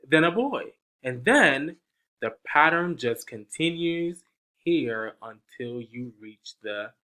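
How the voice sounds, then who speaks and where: A man's voice: medium pitch, sharp tone. A man reading a picture book aloud to young children, coaxing them with questions and counting along. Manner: slow, sing-song, coaxing questions to young listeners.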